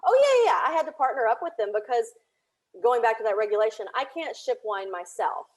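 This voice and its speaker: A woman from Tennessee speaking as an interview guest, telling anecdotes in a chatty, conversational and animated way. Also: on the high side, fairly quick. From Tennessee, United States. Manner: chatty, animated anecdotes with laughter and reenacted dialogue. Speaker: interview guest, audio drama creator.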